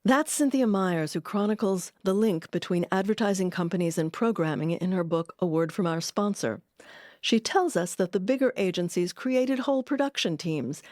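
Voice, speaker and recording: A woman hosting and narrating a documentary about radio history, with a measured storytelling delivery. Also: mid-range voice, a little mellow, no echo, clean studio sound.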